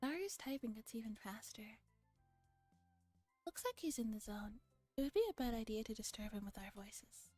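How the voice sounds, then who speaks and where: A woman's voice: on the high side, moderate pace, long pauses, a little mellow, no echo, soft background music. A woman streamer with a playful, casually profane manner, reading a visual novel aloud and chatting casually.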